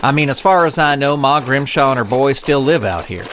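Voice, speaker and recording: Folksy fish sheriff male actor for a kids' western audio serial, mid-pitched, animated, careful and skeptical, slight background noise. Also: natural voice. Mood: cautious. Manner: folksy drawled phrasing, hesitant, measured. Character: folksy, cautious western lawman fish, good-natured and skeptical. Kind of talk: comic western audio drama acting.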